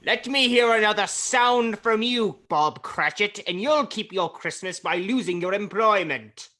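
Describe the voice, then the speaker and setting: An actor's grumpy old miser, scornful, theatrical, animated pitch swings, radio play. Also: a little slow. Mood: cold, dismissive.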